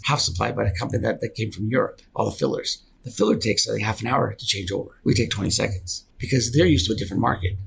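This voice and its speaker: A man's voice: medium pitch, creaky, a little hiss or hum, rough recording. A middle-aged man from Canada explaining things conversationally as a business owner being interviewed on a podcast, often repeating words for emphasis. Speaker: engineering company founder and director, interview guest.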